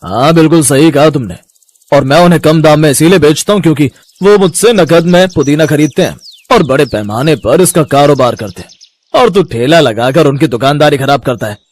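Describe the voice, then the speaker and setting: A man's voice: fairly high pitch, animated, a little fast. A man playing an arrogant, greedy village landlord in a moral story, haughty and contemptuous. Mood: scornful, angry. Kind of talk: Hindi moral story voice acting.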